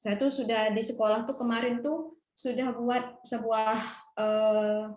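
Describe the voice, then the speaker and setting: A woman's voice: on the high side, steady tone, measured pace, darkish tone. Polite woman teacher in an echoey room. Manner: polite, deferential. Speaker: English teacher. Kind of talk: coaching conversation.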